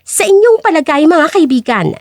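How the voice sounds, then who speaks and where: A woman storyteller narrates a scripted tale with drama. Her voice is high and lively in pitch, captured very dry and close. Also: moderate pace, dry recording. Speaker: storyteller, host.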